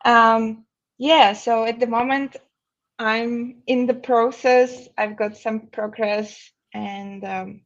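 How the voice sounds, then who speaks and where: Female interview guest, Russian accent, slow halting delivery, casual, medium pitch, muffled lo-fi sound. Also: fairly even intonation.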